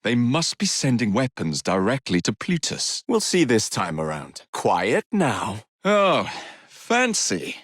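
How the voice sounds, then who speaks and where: An actor playing a cocky, witty young English gang leader in a Victorian video game, flippant and theatrical. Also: male voice, medium pitch, wildly swooping pitch, slow, no room sound, studio quality, full character voice. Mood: sardonic. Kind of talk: video game character voice lines.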